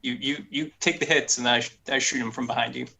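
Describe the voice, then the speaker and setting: A man's voice: mid-range voice, fairly even intonation, fast, creaky, pushed and bright. A man taking part as a player in a tabletop roleplaying game, bantering casually.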